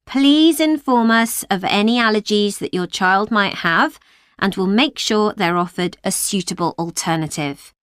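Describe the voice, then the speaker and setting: A woman speaking as a childcare manager, giving a polite, clear talk to parents. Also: mid-range voice, measured pace, fairly bright tone, dry recording, clean studio sound. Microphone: studio microphone, dry.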